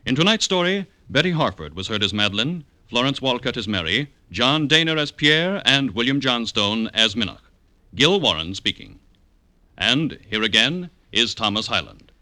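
A man announcing in a formal, crisply emphatic 1950s radio style. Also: medium pitch, very animated, normal speed, bright, forceful tone, no room sound.

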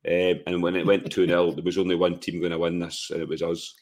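A Scottish man with a very deep voice, gone hoarse from shouting, gives his blunt take on a football match. He speaks casually and with opinion, and he asks rhetorical questions before he answers them himself. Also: monotone, a little fast. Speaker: football podcast co-host.

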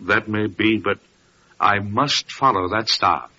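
An actor playing a restless, earnest wandering seeker in an old-time radio drama. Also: male voice, lowish voice, slow, bright, forceful tone, full character voice. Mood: anguished resolve. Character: yearning, restless male seeker, wandering traveler. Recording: muffled vintage radio recording with music.

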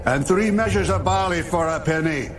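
A man's voice: on the high side, normal speed, distant mic, pitched above the performer's own voice, lightly acted. A man voicing a stern, otherworldly beast of heaven who declaims commands in a dramatized scripture audiobook. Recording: voice sounds off from the mic.

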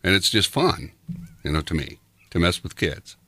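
Older male radio host, sixties, low but bright voice, loose chuckling delivery, dry studio sound. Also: very animated, normal speed, dry recording. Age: 60s. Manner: chuckling, rambling, heavy on fillers. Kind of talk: casual chat.

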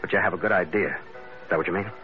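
Guy doing tough american captain from old radio serial, low voice, terse, music behind, muffled old recording. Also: fairly even intonation, racing, lightly acted. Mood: probing. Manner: terse, hard-boiled, take-charge. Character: tough, brisk American ship captain narrating his adventure. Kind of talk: old-time radio adventure acting and narration.

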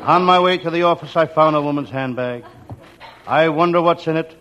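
A man playing a wisecracking, down-on-his-luck private detective in a 1940s radio comedy, with deadpan, slangy delivery. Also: fairly high pitch, brisk, some chatter behind, natural voice. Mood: deadpan, wry. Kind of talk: 1940s radio comedy acting, scripted first-person narration. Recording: muffled, very noisy old radio recording.

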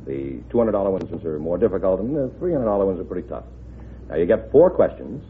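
A male quiz show sidekick with a lowish voice, announcing rules and scores. The sound is an old radio broadcast, narrow and tinny, with faint noise. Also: sing-song, quick pace.

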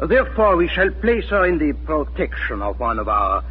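A man's voice: on the high side, big pitch swings, normal speed, slightly rough, exaggerated voice. A man playing a cold, menacing Nazi occupation officer in 1940s radio drama, issuing commanding, formal threats. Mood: icily formal. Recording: scratchy, tinny 1940s radio recording.

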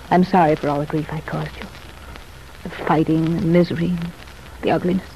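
An actress playing a contrite, earnest young ex-wife in an old-time radio soap opera, speaking in a confiding, measured way. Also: female voice, on the low side, lo-fi sound. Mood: remorseful, serene. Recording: noisy, band-limited vintage radio recording.

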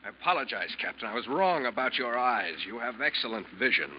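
An actor playing a sardonic, insistent ship's officer who probes and accuses, in an old-time radio drama. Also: male voice, medium pitch, lively intonation, a little fast, close to an everyday voice. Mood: sardonic, accusing. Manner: probing, sarcastic, insistent. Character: accusing, sardonic male ship's officer confronting his captain. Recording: muffled vintage radio drama recording.

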